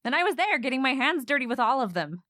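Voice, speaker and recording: High, animated, headstrong young-at-heart heroine played by a woman. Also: quick pace. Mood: wry narrating. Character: headstrong single woman renovating her inherited house, narrating her story. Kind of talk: romantic comedy radio play, acting and narration.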